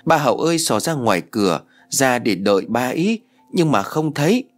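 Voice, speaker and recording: A man's voice: medium pitch, very animated, moderate pace, faint music behind, close to an everyday voice. A man voicing a small, sulky younger girl in a storybook tale, whimpering in a babyish way.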